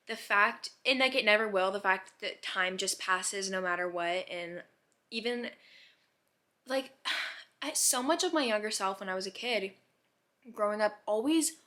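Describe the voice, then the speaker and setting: A young woman of about eighteen talks casually to her viewers in a bright, clear-edged voice at a middling pitch. She rambles reflectively, questioning her own thoughts as she goes and peppering them with "like". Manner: musing, self-questioning, heavy use of "like". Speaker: vlogger.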